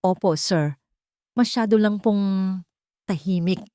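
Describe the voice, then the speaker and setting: A woman voicing a shy, grieving young housemaid who speaks softly and politely. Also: mid-range voice, takes it slowly, clean tone, no echo, clean studio sound, lightly acted. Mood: timid. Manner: soft, polite, timid.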